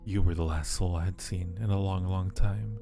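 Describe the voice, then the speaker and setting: A man voicing an ancient female dark spirit in a visual novel, solemn, mournful and devoted, with formal phrasing. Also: sits low, steady tone, normal speed, music in the background, pitched below the performer's own voice. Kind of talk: dramatic visual novel reading.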